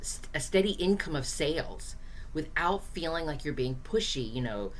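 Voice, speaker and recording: A woman with a low, hoarse voice walks small business owners through marketing ideas. She talks straight to the listener in an easygoing, encouraging way and often fills pauses with casual asides. A faint hiss sits behind her. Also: animated, poor audio. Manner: chatty, direct, encouraging, with frequent fillers. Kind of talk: casual teaching to camera.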